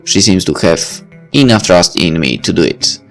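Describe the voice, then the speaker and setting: A man's voice: low-pitched, expressive, moderate pace, fairly bright tone, soft background music. A young man reading a visual novel aloud, adding wry, chatty asides. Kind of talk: reading aloud with off-the-cuff comments.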